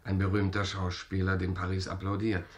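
Man playing vain young low-voiced stage actor. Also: monotone, fairly quick, lightly acted. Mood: boastful. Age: young adult. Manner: boastful, theatrical. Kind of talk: German radio play acting.

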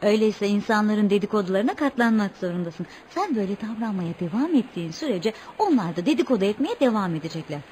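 An actress playing a sensible, exasperated wife with a reproachful manner in a radio play. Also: female voice, mid-range voice, expressive, quick pace, few pauses, darkish tone, a little hiss or hum, natural voice. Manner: reproachful, admonishing. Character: exasperated, sensible wife scolding a boastful man. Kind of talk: radio theatre acting.

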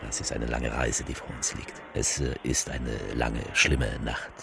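A man playing a political speechmaker in a theatrical radio piece, addressing the people with halting, emphatic, repetitive rhetoric. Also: extremely low pitch, level tone, creaky, heavily acted. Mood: subdued, hesitant.

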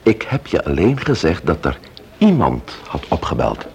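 An actor playing a shrewd, courteous police investigator in a Dutch radio play, speaking deliberately and questioning with emphasis. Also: male voice, extremely low pitch, wildly swooping pitch, creaky, a little mellow, full character voice. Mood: explaining.

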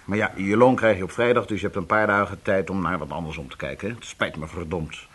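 An actor playing a gruff but apologetic male boss in a radio drama, with a husky voice. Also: sits low, steady tone, fast talker, a bit bright.

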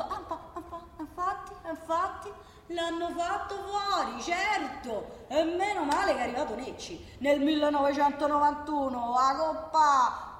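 An actress plays a working-class woman with a Roman accent, high in pitch and full of opinions. She chats emphatically, throwing out rhetorical questions in radio-theatre style. The recording rings in a noisy, reverberant hall. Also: natural voice. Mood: sardonic. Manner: conversational, emphatic, rhetorical questioning, repeats herself. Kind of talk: colloquial theatrical monologue, radio drama.

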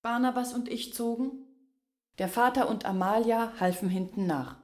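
An actress playing a sombre woman who echoes an outcast family's memories in a radio drama, with measured delivery. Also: fairly high pitch, close to an everyday voice. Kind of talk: literary radio drama narration.

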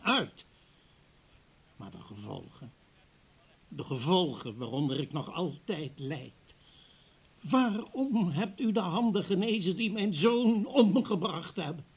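An actor playing an embittered, grieving older professor in a radio play, husky and weighty, full of wounded accusation. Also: male voice, on the high side, sing-song, slow, exaggerated voice. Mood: accusing, anguished. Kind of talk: Dutch radio play acting.

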